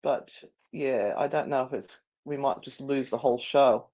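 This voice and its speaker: A woman's voice: extremely low pitch, normal speed, mellow. An Australian woman co-hosting a live show in casual, easily sidetracked, giggly banter. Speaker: co-host of a paranormal live show.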